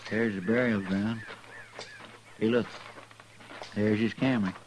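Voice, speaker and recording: An actor playing an earnest, folksy western deputy with a rural American drawl in a radio drama. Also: male voice, fairly low pitch, steady tone, unhurried, natural voice. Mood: somber wonder. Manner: folksy, plainspoken, deferential. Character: earnest, folksy deputy sidekick in the Old West. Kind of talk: 1950s radio western acting. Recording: noisy 1950s radio recording.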